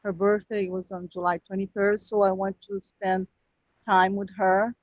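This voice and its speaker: A woman with a Brazilian Portuguese accent, Portuguese her first language, calling in and speaking halting, emotional English with many pauses. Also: mid-range voice, flat delivery, a little mellow, no room sound. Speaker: caller. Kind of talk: call-in conversation. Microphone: video call. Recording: lo-fi video call audio.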